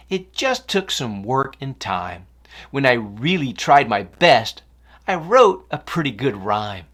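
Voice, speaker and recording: A woman's voice: extremely low pitch, exaggerated voice. A woman voicing an anxious, discouraged young schoolboy in a children's story, hesitant and unsure of himself. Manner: glum, hesitant.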